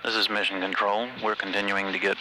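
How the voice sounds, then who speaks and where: A man with a low, monotone voice gives formal spaceflight status updates. He is measured and matter-of-fact, like an official announcer, on a noisy archival radio broadcast. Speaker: mission control public affairs announcer.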